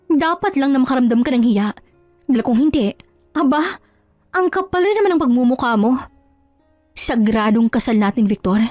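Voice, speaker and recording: Woman acting a high-pitched, indignant betrayed wife. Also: animated, moderate pace, long pauses, a little mellow, dry recording, soft background music. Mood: hurt, reproachful. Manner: scolding, scornful rhetorical questions. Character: hurt, indignant betrayed wife, proud and resolute. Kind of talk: Tagalog audio drama acting.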